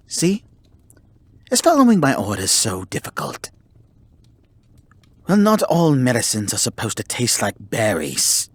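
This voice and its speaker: A man playing a haughty, grudgingly caring male kitsune in an ASMR roleplay, speaking formally and theatrically with a breathy, husky voice. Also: mid-range voice, no echo. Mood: bossy, grudging care. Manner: formal, theatrical, exasperated scolding. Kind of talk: ASMR roleplay acting, addressing the listener.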